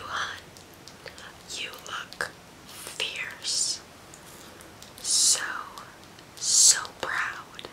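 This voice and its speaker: A woman's voice: high-pitched, bright, forceful tone, full character voice, low-quality recording. Woman playing a whispering, vain, tipsy rich mom. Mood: doting, vain. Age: young adult. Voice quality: breathy whisper.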